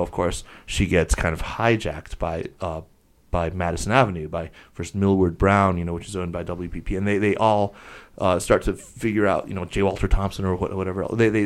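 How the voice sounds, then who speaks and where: A man hosting a podcast, chatting casually in a wordy, digressive way with an erudite vocabulary. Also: fairly low pitch. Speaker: podcast host. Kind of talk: casual panel chat.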